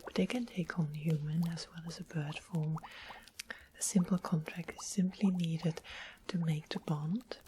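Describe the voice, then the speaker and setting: A woman voicing a sweet, mischievous witch in an ASMR fantasy roleplay, in a breathy whisper with an unhurried, polite, coaxing manner. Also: sits low, fairly even intonation, warm tone, a little hiss or hum. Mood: softly informative. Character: sweet-smiled, mischievous witch of the west, softly sly.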